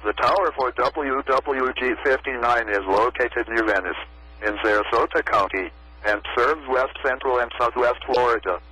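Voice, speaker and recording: A man's voice: mid-range voice, normal speed, bright, forceful tone, husky, poor audio. A synthetic American male computer voice reading a scripted marine forecast in a stilted way on weather radio. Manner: stilted synthetic text-to-speech delivery. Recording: weather radio broadcast audio.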